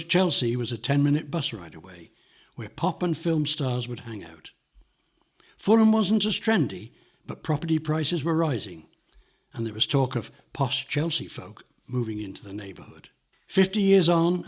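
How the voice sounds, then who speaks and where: Older man, husky narrator. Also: on the high side. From London, England. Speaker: narrator reading a memoir. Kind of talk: scripted narration, nostalgic memoir reading.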